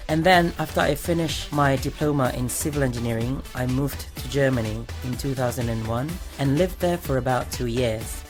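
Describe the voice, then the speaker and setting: A man in his forties from Malaysia, a native Malay speaker, reading prepared interview answers in a careful, slightly formal way. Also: mid-range voice. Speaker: interview guest, singer. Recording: music playing underneath. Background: music.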